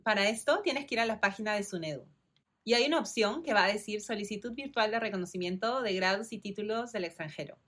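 A woman's voice: mid-range voice, quick pace, a bit bright. A woman in her thirties from Peru with a Peruvian Spanish accent, hosting a podcast and explaining a process in a friendly, step-by-step way. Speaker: podcast host. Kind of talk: informative solo podcast.

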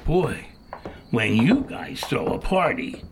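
A man's voice: medium pitch, very animated, takes it slowly, noisy background. An actor playing an amazed, joking male party guest in an audio drama, speaking emphatically.

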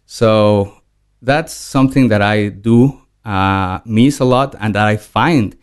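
A man who grew up in Mexico, a guest in a casual interview, speaking haltingly with many restarts and fillers. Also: lowish voice, clear, resonant tone.

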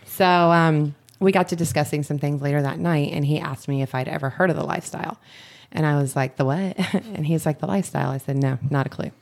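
Guest, female, deep gravelly tone, chatty storyteller, quick to laugh. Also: darkish tone. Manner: chatty, laughs often while telling stories. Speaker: interview guest. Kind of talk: casual storytelling. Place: resort poolside, outdoors.

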